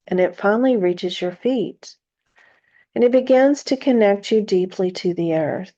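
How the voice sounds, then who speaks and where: Female meditation guide: soft-toned and mellow, slightly low, soothing and deliberate delivery, muffled lo-fi sound.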